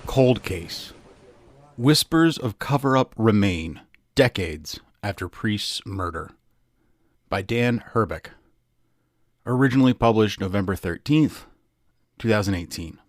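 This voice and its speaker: A man reading scripted true crime podcast narration as host, in a measured, emphatic way. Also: lowish voice, lively intonation, no room sound. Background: music.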